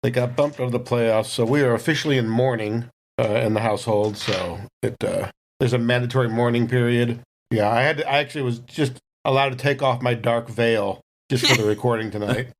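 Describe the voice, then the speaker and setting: A man's voice: medium pitch, fairly even intonation, fairly quick, slight background noise. A man in a podcast cast, chatting casually in a jokey, mock-serious way. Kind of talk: casual chat.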